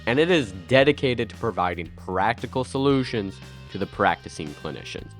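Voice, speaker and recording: A man in his thirties hosting a podcast, speaking with a raspy, nasal voice from a head cold, earnest with bursts of playful energy. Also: on the low side, expressive, normal speed, faint music behind. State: congested with a cold, stuffy and nasal. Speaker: podcast host. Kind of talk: scripted podcast monologue.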